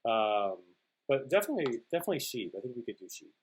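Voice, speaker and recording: A man with a slightly husky voice and an ordinary middle pitch reads out questions and chats in an easygoing way. His tone stays loose and relaxed. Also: quick pace. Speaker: guest, host's husband. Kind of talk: casual Q&A chat.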